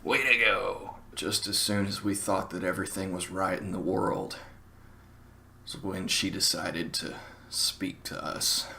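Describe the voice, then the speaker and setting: A man's voice: sits low, flat delivery, measured pace, vocal fry, pushed and bright, slight background noise. A man with a Southern US accent telling a casual comic anecdote in a wry, self-mocking, wordy way. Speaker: storyteller, show host.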